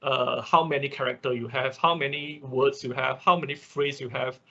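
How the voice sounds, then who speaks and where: Male instructor, non-native English speaker, slightly rough husky voice, halting and repetitive explainer, lo-fi online class sound. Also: mid-range voice, steady tone, pushed and bright. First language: not English. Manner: halting, repetitive explaining with frequent check words. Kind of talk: informal explanatory lecture. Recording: dull, lo-fi online class audio.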